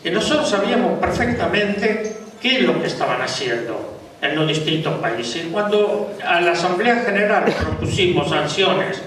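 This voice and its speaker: A man's voice: high-pitched, lively intonation, moderate pace, a bit bright, slight background noise. A man speaking on a conference panel, earnest, with a militant appeal. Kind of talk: conference talk. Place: large conference hall. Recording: echoey hall, distant mic.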